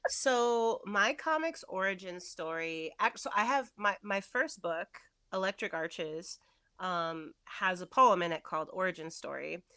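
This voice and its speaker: A woman's voice: on the low side, measured pace, bright, forceful tone, no echo, clean studio sound. A chatty woman from Chicago with a nasal Midwestern accent, talking as a guest in a casual interview and laughing often. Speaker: guest, comics writer and poet.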